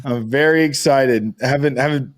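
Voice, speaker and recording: A man's voice: medium pitch, moderate pace. A man co-hosting a podcast, chatting informally in loose, slangy language. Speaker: podcast co-host. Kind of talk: casual chat.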